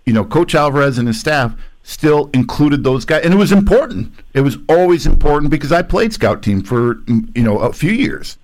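Halting American man hosting casual sports talk. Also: on the high side, big pitch swings, darkish tone. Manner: halting, rambling, often says "you know". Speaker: talk show host, former college football player.

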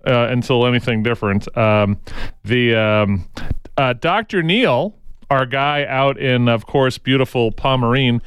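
A man's voice: medium pitch, a little slow, fairly bright tone, clear, resonant tone. A man hosting a local news and talk radio show, chatting casually and rambling with many fillers and restarts in a studio. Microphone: studio microphone.

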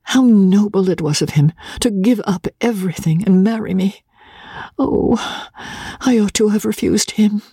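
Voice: mid-range voice, unhurried, creaky, mellow, breathy edge, no echo, clean studio sound, voice pushed up. A narrator voicing a meek, self-doubting young bride in a period storybook. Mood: anxious, self-reproaching.

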